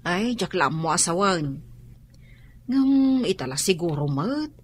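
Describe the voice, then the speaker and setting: A woman's voice: on the low side, very animated, takes it slowly, breathy edge, exaggerated voice. Woman playing a gossiping maid. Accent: Ilocano. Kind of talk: radio drama acting.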